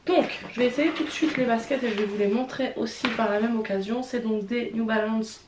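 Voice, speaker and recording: A young Swiss French woman, congested from a cold, chats casually and candidly, stumbling and restarting as she goes. Her voice sits a little high. The room is roomy and echoey, with a faint hiss of noise behind her. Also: a little fast. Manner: chatty, hesitant, frank, many fillers and restarts. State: stuffy from a cold. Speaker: vlogger. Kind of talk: casual unboxing and try-on chat.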